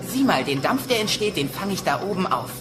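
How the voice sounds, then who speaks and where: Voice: medium pitch, big pitch swings, a little fast, noisy background, natural voice. An actor voicing an eager, sarcastic teenage dinosaur son with a youthful voice in a cartoon dub. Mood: excited. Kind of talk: cartoon dubbing.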